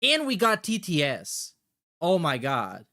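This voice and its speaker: Animated streamer with a slow, loose delivery. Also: medium pitch, creaky. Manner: blunt, heavily profane. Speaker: livestream host. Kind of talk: casual livestream chat, reacting.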